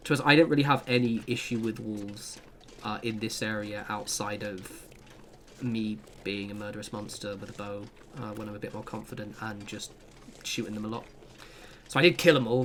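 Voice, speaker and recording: A man's voice: lowish voice, a bit bright, slight background noise. Chatty man narrating gameplay. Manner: chatty, rambling, self-deprecating asides. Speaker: gaming channel host. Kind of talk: casual gameplay guide commentary.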